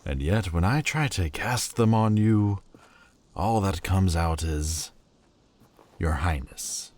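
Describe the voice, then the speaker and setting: A man voicing a haughty Lord of Demons, very deep. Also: expressive, slow pace, darkish tone, exaggerated voice. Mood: sardonic. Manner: haughty, sardonic, grudgingly tender. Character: proud, prickly male Lord of Demons. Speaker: voice actor. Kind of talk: fantasy audio roleplay.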